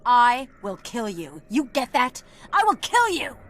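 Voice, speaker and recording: Alien anarchist, video game, high-pitched, sharp, brash, hot-tempered, defiant. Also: female voice, wildly swooping pitch, measured pace, exaggerated voice. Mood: furious. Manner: brash, aggressive.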